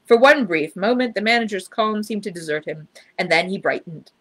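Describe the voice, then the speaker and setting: A woman reading a children's story aloud in expressive narration. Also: medium pitch, brisk. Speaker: storybook reader.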